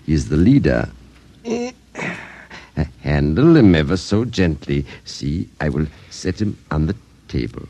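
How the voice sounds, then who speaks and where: Very deep, mysterious old dollmaker. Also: male voice, wildly swooping pitch, mellow, full character voice. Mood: mysterious, proud. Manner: slow theatrical pronouncements, cryptic. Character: mysterious old dollmaker shop proprietor, eerily courteous. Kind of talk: 1950s radio acting. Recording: vintage 1950s radio broadcast, music behind.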